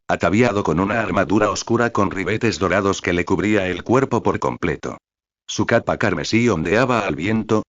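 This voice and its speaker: Low-voiced male narrator, dry and muffled. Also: no room sound. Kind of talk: audiobook reading of fan fiction.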